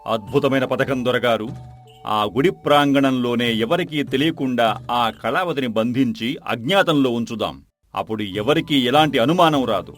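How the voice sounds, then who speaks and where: A man acts a crafty henchman serving a folk-tale villain. He speaks at middle pitch in a servile, deferential way. Faint music plays underneath. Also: moderate pace, natural voice. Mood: eager, conniving. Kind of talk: Telugu audio folk tale acting.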